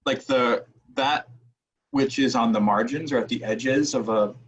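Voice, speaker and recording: An American man from Wisconsin talking thoughtfully on a panel, haltingly searching for his words. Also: mid-range voice. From Wisconsin, United States. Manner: halting, searching for words, frequent checking "right". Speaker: academic panelist. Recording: dull, muffled audio.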